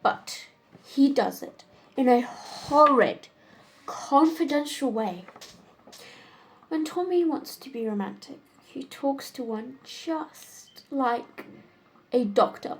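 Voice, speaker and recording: A young woman playing a witty, fussy upper-class society lady in a stage comedy monologue, deliberate and complaining. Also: sits high, expressive, a little hiss or hum, heavily acted, poor audio.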